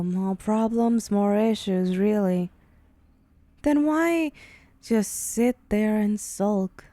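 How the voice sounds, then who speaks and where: Woman acting a slow-talking, haughty demon temptress. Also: medium pitch, mellow, dry recording, natural voice. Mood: exasperated, disdainful. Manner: haughty, teasing, sighing, theatrical. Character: vain, sly female demon striking soul bargains. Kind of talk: ASMR roleplay monologue to the listener.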